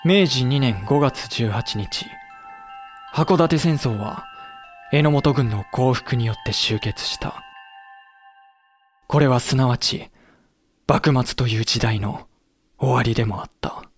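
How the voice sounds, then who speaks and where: A young actor playing a loyal samurai officer who narrates soberly and pleads with fiery passion. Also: male voice, medium pitch, slow, pauses often, faint music behind. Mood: sober narration. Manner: passionate, rising to near shouting when pleading. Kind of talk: audio drama acting and period narration.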